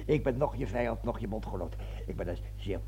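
An actor in a radio play voicing a self-important American army colonel who stammers, cajoles and barks orders. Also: male voice, lowish voice, animated, fairly quick, natural voice. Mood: pompous, strained. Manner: pompous, stammering, cajoling, switching between whispers and barked orders. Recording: noisy old radio recording with hum.

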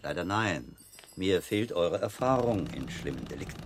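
An actor playing a learned monk in a German radio play, answering tersely with polite, understated irony. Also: male voice, low-pitched, lively intonation, moderate pace, noisy background. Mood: dry irony. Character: dry, politely sardonic learned male monk.

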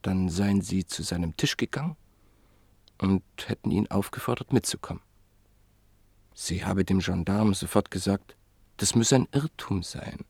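A man narrating a literary radio drama in a measured storytelling manner. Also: deep voice, vocal fry, darkish tone, husky, no room sound. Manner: measured storytelling, reporting speech indirectly.

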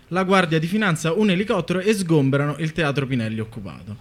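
Higher-pitched man co-hosting live radio. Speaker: radio co-host. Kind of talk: live radio talk and interviewing.

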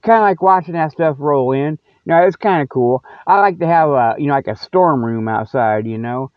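A man's voice: a little high, normal speed, clear, resonant tone, no echo. A man hosting a humorous mock newscast, rambling in a casual, folksy, colloquial and jokey way. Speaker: host of a humorous mock news show. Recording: narrow phone-like sound, dry.

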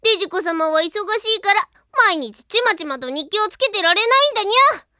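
A woman's voice: very high voice, animated, fast, sharp tone, no room sound, clean studio sound, full character voice. A woman voicing a cute, bossy alien cat-girl princess in an anime audio drama, self-important and ending her sentences with a cat-like nya. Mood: bossy, irritated. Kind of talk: anime audio drama acting, reading a diary aloud.

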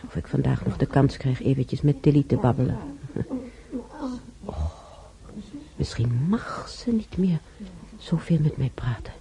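A woman's voice: a bass voice, animated, unhurried, gravelly, pauses often, in a whisper, full character voice. An actress playing a chatty, wistful old woman who muses aloud and rambles in a radio drama. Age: older. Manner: rambling, musing aloud, repeating herself. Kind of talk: radio drama monologue and dialogue. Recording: dark, slightly noisy radio drama recording.

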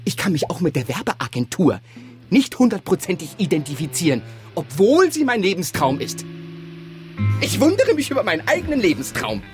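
A man's voice: sits high, big pitch swings, normal speed, soft background music. An actor playing an exasperated, sentimental man in a radio drama, speaking in an emphatic, insistent way. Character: exasperated, sentimental partner clinging to household belongings. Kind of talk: radio drama dialogue acting.

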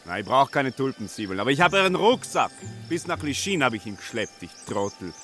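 A man's voice: medium pitch, very animated, a little fast, bright, forceful tone, soft background music. An actor playing a grumpy, indignant man with an Austrian accent, blunt and exasperated, in a radio drama. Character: grumpy, indignant man demanding his property back.

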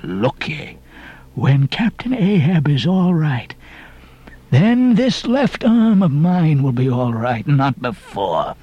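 A man's voice: a little high, sing-song, slow, warm tone, breathy edge, muffled recording, heavily acted. A man voicing a ragged old sailor stranger in an audiobook, cryptic and derisive, with emphatic archaic seafaring talk. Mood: insanely earnest. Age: older. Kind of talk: audiobook character reading.

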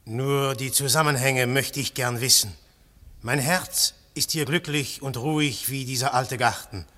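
A man's voice: medium pitch, steady tone, unhurried, pushed and bright. An actor narrating in the first person and playing a thoughtful man who earnestly seeks answers. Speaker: narrator and character.

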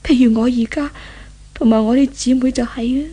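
A high-voiced, husky, tormented young woman. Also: fairly even intonation, fairly quick, mellow. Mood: sorrowful reflection. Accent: Hong Kong Cantonese. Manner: dramatic, breathy monologue with sighs. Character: once-pampered fallen young woman, tormented, weary, remorseful. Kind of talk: 1970s Hong Kong radio drama acting. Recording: 1970s radio drama recording.

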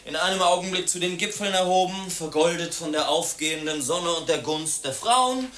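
An actor in a German radio drama playing a mocking vagrant poet, declaiming in pompous, flowery phrases. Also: male voice, on the high side, normal speed, a bit bright, natural voice. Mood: declamatory.

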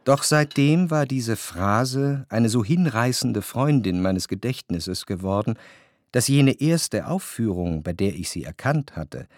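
An actor playing a reflective, cultured man who recalls his past in a literary radio drama, with measured, precise diction. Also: medium pitch, lively intonation, darkish tone, close to an everyday voice. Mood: wistful. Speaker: narrator.

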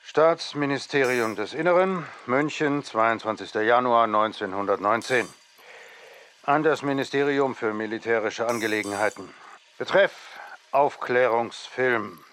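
An actor playing a formal official in a radio play, reading out letters in an officious, bureaucratic manner. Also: male voice, mid-range voice, unhurried, fairly bright tone, lightly acted. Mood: stiff, formal.

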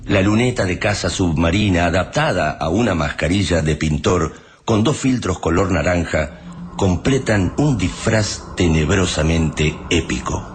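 A man's voice: low voice, unhurried. A man with an Argentine accent narrating a radio drama as a grave, literary storyteller of an apocalypse. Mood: solemn. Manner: solemn, literary. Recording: clearly noisy radio play track.

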